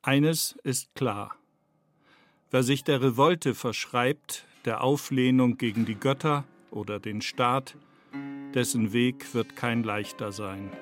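Man narrating a literary radio essay over music. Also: medium pitch. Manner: literary, rhetorical, measured phrasing. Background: music with guitar.

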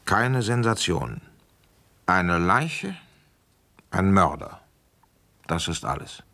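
An actor playing a gruff, resigned, middle-aged French police commissioner in a German radio play, with a sardonic, grumbling way of talking. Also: male voice, deep voice, very animated, slow, bright, forceful tone, exaggerated voice. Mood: weary, sardonic. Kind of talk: German radio play acting and first-person narration.

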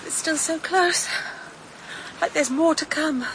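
Brief: a shaken, soft-spoken young woman, high voice, ambience behind. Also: takes it slowly, slight background noise, poor audio. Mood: anxious. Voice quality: soft and fragile. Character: fragile, anxious young woman. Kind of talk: radio drama acting.

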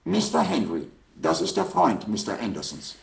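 An actor playing an obsolete household robot in a radio play, polite, formal and literal, a slow-witted servant. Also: male voice, on the low side, huge pitch swings, vocal fry, roomy sound, slight background noise, heavily acted. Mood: dutiful. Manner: courteous, formal, matter-of-fact. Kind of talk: German radio play acting.

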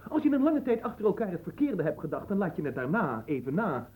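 A man's voice: high voice, big pitch swings, fast talker, narrow, tinny audio. An actor playing a poor, ambitious and cheeky young man in a radio play, speaking politely and earnestly. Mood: polite, composed. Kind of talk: theatrical radio play acting.

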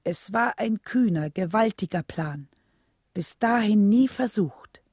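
A woman's voice: mid-range voice, expressive, slow pace, darkish tone, slightly rough, dry recording, studio quality. A woman playing a civil rights leader's reflective wife, narrating a radio play in a composed, solemn, precise way. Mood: grave, reflective.

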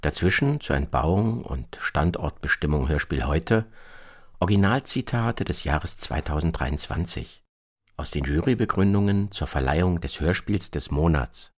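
A man reading scripted radio documentary narration in a measured, matter-of-fact manner. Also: rumbling low, lively intonation. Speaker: narrator, presenter.

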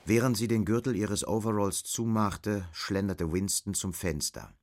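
Deep-voiced male literary narrator. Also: measured pace, darkish tone. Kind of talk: scripted literary narration for radio drama.

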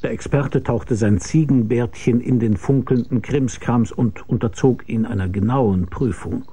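An actor playing a cynical, world-weary investigator who narrates a radio drama in a dry, laconic, husky voice. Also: male voice, medium pitch, measured pace, mellow. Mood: dry narration. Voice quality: gravelly, husky. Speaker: narrator and lead character. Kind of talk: hard-boiled radio drama narration and dialogue.

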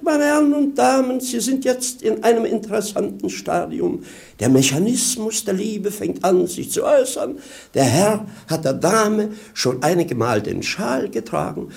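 A roguish jester with a fairly high, animated voice that breaks into creak. He delivers mocking showman's patter with theatrical relish, in the manner of 1950s German radio drama. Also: full character voice. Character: roguish, mocking jester playing fairground barker, gleefully talking nonsense.

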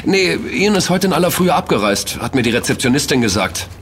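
An actor playing an affable, inquisitive young student in an audio drama, talking things through conversationally. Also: male voice, fairly high pitch, sing-song, a little fast, fairly bright tone, slight background noise. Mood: easygoing. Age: young adult. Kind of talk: German audio drama dialogue.

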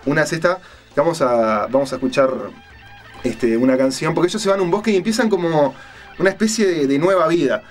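A man with a Uruguayan accent hosting a casual radio chat, retelling stories in a halting, colloquial way and searching for words. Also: a little high, soft background music. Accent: Uruguayan Spanish. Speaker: radio show host. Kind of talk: casual on-air chat, retelling a film and crime story.

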